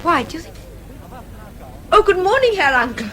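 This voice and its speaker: A woman's voice: extremely high pitch, very animated, moderate pace, pushed and bright, a little hiss or hum, exaggerated voice. An actress playing an outspoken, earnest young Englishwoman with a British accent in a radio drama, well-spoken and polite. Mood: friendly.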